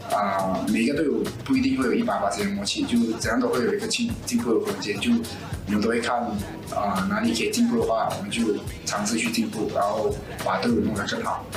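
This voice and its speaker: A man, an esports player, speaking slowly and thoughtfully about his team. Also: fairly low pitch, echoey room, poor audio. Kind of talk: team interview.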